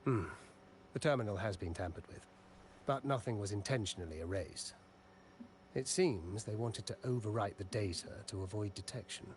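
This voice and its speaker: A man's voice: on the low side, a little hiss or hum, close to an everyday voice. A man playing a self-assured hacker in a video game, explaining things in a confident, matter-of-fact way.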